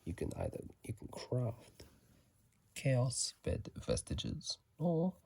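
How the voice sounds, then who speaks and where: A man speaks in a husky, breathy murmur, often slipping into a whisper, close to a phone mic. His voice is very deep and dark, with slow, soothing delivery for ASMR game commentary. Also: wildly swooping pitch, dry recording. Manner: soft, soothing, unhurried murmur with repeated phrases. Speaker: host of a gaming ASMR video. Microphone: phone microphone, close up.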